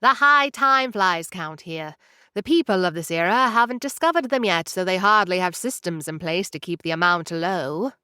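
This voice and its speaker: A woman's voice: fairly low pitch, lively intonation, moderate pace, sharp tone, clear, resonant tone, no room sound, clean studio sound. A woman playing a curt tiny ship captain. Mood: brusque. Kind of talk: audio drama acting.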